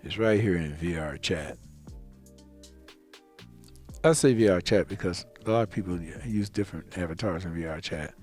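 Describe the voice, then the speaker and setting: A man's voice: sits low, normal speed, long pauses, darkish tone, faint music behind. A man giving a casual step-by-step tutorial as a video creator, rambling and often repeating himself.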